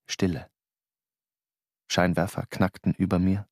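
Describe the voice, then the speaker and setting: A man's voice: low voice, little pitch movement, a little slow, darkish tone, dry recording, clean studio sound. A man playing a restless, insistent Austrian stage actor in a radio drama, telling his story and arguing. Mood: tense narration. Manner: insistent, probing. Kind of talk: radio drama narration and dialogue.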